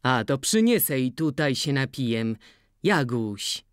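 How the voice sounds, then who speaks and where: A reader voicing an older, stubborn farmer who speaks low and coaxing in an audiobook. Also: big pitch swings, a little slow, clear, resonant tone, no echo, clean studio sound. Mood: tender. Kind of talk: audiobook character voice.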